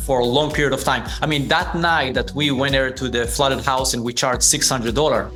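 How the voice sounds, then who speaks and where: A man's voice: medium pitch, steady tone, moderate pace, bright, forceful tone, faint music behind. A man in his forties with an Israeli accent, a native Hebrew speaker, telling his story conversationally as an interview guest. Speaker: podcast guest, business founder.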